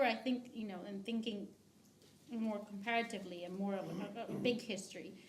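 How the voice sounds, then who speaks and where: Higher-pitched woman in an echoey room. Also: a little mellow, slight background noise. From Argentina. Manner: hesitant, many restarts and fillers. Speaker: discussant at a book talk. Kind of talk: academic commentary.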